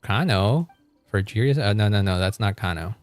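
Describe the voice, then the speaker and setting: A man's voice: lowish voice, animated, moderate pace, no echo, soft background music. A man livestreaming a game, chatting casually with viewers in a rambling, informal way with some mild swearing.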